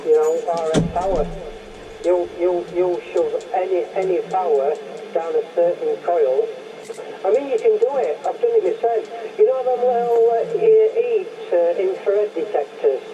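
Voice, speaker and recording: A man's voice: high-pitched, moderate pace. An older man with a Northern English accent chats casually as a radio hobbyist, emphatic and rambling, often repeating himself. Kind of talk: casual chat over CB radio. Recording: muffled CB radio transmission with loud noise.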